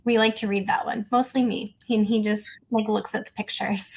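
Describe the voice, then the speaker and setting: A woman's voice: mid-range voice, little pitch movement, a little fast, vocal fry, a little mellow, poor audio. A young woman hosting an interview in a casual, conversational way. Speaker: interviewer, host.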